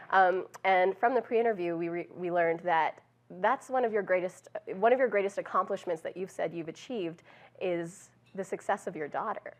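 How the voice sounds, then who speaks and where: A woman with a slightly low voice moderates a panel discussion. She talks conversationally, linking the speakers' stories and asking her guests questions. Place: event hall with audience.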